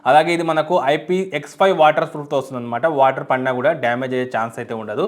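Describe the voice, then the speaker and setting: A man's voice: medium pitch, racing. A man explaining a product step by step as a tech reviewer, in an unboxing video style. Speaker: YouTube tech reviewer and host.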